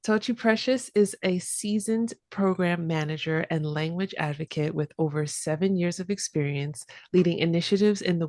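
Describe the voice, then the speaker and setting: A woman hosting an interview podcast about languages, upbeat and quick to laugh. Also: lowish voice, no room sound, clean studio sound. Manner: upbeat, laughs often, enthusiastic. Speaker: podcast host.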